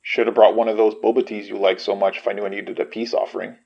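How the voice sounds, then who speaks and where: Young friend, audio drama, creaky voice, medium pitch, caring, wry, skeptical, slightly dull recording. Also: male voice, flat delivery, quick pace. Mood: concerned. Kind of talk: naturalistic audio drama acting.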